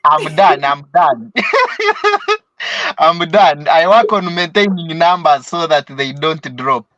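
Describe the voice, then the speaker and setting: A man's voice: a little high, sing-song, takes it slowly, sharp tone, slight background noise. A young man from Uganda with a Ugandan accent, a student calling in to a live stream, speaking hesitantly with fillers and laughs. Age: young adult. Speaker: caller, student and travel vlogger. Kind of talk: live call-in chat. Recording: dull, dry call audio.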